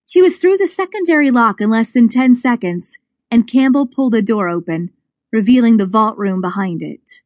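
A woman reading scripted suspense fiction as an audiobook narrator, with expressive storytelling. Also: on the high side, moderate pace, dry recording, clean studio sound. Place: dry studio.

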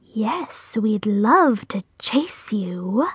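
A woman's voice: mid-range voice, very animated, husky, no echo, studio quality, exaggerated voice. A woman voicing a sinister female giant spider with a ghostly, hissing, whispery voice and taunting menace. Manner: slow, drawn-out, menacing taunts.

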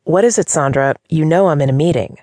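Audiobook figure: icy, impatient female boss, low dark voice, read by a woman. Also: a little fast, no room sound, studio quality. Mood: cold. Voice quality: deeper voice, cold.

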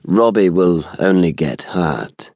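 A man performs a stout thriller villain in an audiobook. He has a smooth, deep voice and an educated English accent, speaking with polite, unhurried menace. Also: lively intonation, darkish tone, no echo, lo-fi sound, heavily acted.

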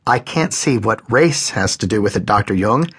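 A man's voice: on the low side, lively intonation, moderate pace, a bit bright, slightly rough. A man doing a timid, pleading young man. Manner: tentative, hesitant.

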